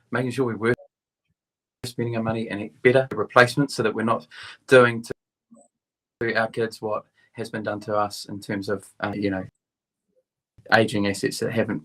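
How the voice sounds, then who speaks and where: A man's voice: lowish voice, fairly bright tone, poor audio. A man giving a plain-spoken, practical campaign closing statement as a council candidate.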